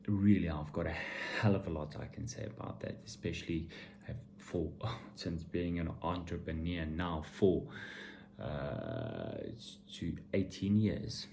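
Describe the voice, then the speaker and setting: A middle-aged man with a dark, mellow voice talks informally to his viewers like a motivational vlogger. He takes his time and hammers his opinions home bluntly, repeating for emphasis. There is faint noise behind him. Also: low voice, vocal fry. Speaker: vlogger and entrepreneur giving motivational talk.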